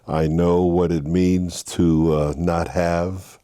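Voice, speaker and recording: An older man from Haiti, a guest telling his life story with earnest faith, with halting restarts. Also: low-pitched, flat delivery, dark tone, no room sound, studio quality. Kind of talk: interview, personal story.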